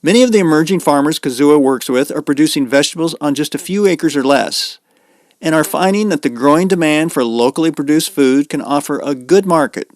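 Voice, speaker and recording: A man's voice: a little high, fairly quick, no room sound, studio quality. A man with an American accent reading scripted narration as a podcast host.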